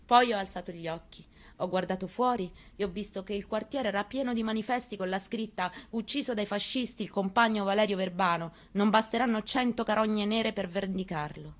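A woman reading a memoir aloud in a radio studio. She tells it in the first person, in a quiet and measured way. Her pitch is mid-range. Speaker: reader.

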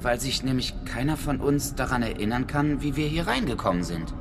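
A man's voice: mid-range voice, animated, fairly quick, over music, lightly acted. A man acting an exasperated, skeptical character in a radio drama, with a wry, questioning manner. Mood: skeptical, pondering. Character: exasperated, skeptical man lost with two women.

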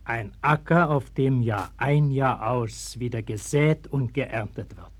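A man playing a stern, compassionate prophet in a radio play, speaking in a solemn, measured, declamatory way. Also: mid-range voice, slightly rough. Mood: quiet, firm. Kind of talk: German radio play acting. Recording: early 1960s vinyl radio-play record.

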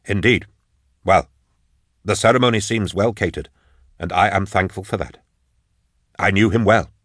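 A man's voice: low-pitched, moderate pace, fairly bright tone, dry recording, studio quality. A man reading the part of a brooding, impatient man in an audiobook, clipped and commanding. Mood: guarded, polite. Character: curt, brooding, impatient man, a customer of authority.

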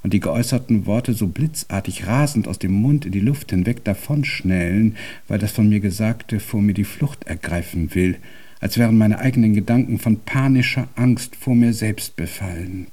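Low-voiced grumpy deaf old composer played by a guy, pompous winding sentences, radio play. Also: moderate pace, dark tone, close to an everyday voice. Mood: brooding, intense. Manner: ornate, pompous, convoluted speech. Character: deaf, cantankerous, self-absorbed old male composer. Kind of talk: German radio drama monologue acting.

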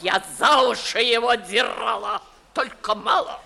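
A woman's voice: fairly high pitch, creaky, sharp tone, a little hiss or hum, heavily acted. A woman playing an imperious, grumbling old noblewoman in a theatrical 1950s Russian stage comedy style. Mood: indignant. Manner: theatrical, declamatory, drawn-out grumbling. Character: imperious grumbling 65-year-old Moscow noblewoman, blunt old aunt.

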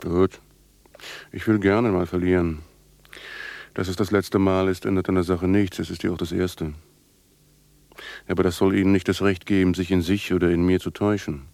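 Radio play lead: haunted male outcast, deep register, incantatory and defiant. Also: flat delivery, moderate pace, pauses often, a little mellow, no room sound. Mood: bitter, resigned. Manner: incantatory, brooding, poetic delivery. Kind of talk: literary radio drama monologue.

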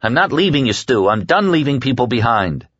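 A man's voice: mid-range voice, sing-song, moderate pace, fairly bright tone, breathy edge, dull audio, voice pushed up. A man voicing an anguished, hot-tempered man in an audiobook, protesting with indignant emphasis as he refuses to abandon a friend. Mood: furious.

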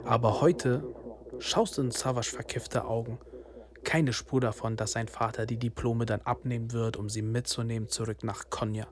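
A man's voice: mid-range voice, fairly even intonation, normal speed, crowd noise, close to an everyday voice. An actor playing a bitter young man from an immigrant neighbourhood in a radio drama monologue, speaking in rhythmic short phrases with insistent repetition. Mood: bleak, subdued. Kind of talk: literary radio drama monologue, spoken-word delivery.

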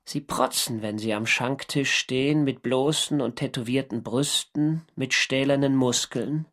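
An actor playing a navy sailor who recounts his wartime diary in measured, dryly observant literary narration for a radio drama. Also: mid-range voice, a bit bright, lightly acted. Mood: measured, wistful.

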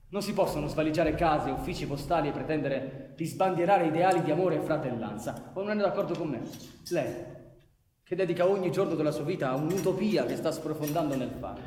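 A man's voice: sits high, a little mellow, slight background noise, close to an everyday voice. A man playing an earnest, courteous police commissioner in radio theatre, speaking formally, articulately and persuasively. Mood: grave warning. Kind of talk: Italian radio theatre acting. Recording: echoey hall with long reverb.

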